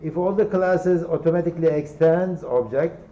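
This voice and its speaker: A man with a Turkish accent lectures a university class in a mellow, relaxed voice, often repeating words and checking in with "okay?". The recording is roomy and slightly dull, with a faint hum of room ambience behind him. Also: fairly high pitch, moderate pace. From Turkey.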